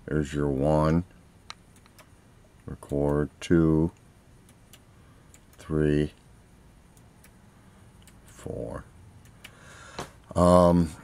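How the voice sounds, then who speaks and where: Male seller for an off-the-cuff product demo: deep register, unhurried, plain-spoken, stops between short phrases. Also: darkish tone. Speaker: seller demonstrating vintage audio gear for an online listing. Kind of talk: casual unscripted product demonstration. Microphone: handheld camera, speaker behind it.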